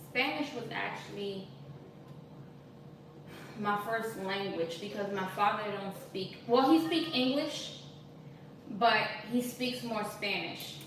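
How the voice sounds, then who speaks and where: A woman in her thirties hosting a cooking live stream from her home kitchen, chatting casually and reading viewer comments aloud. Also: medium pitch. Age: 30s. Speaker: cooking channel host. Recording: echoey kitchen, distant mic, noisy.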